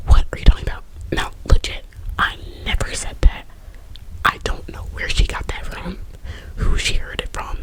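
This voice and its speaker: A woman's voice: fairly high pitch, rough recording. Whispering woman telling a chatty story. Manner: chatty, full of "like" and restarts; whispering throughout. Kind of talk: whispered ASMR story time.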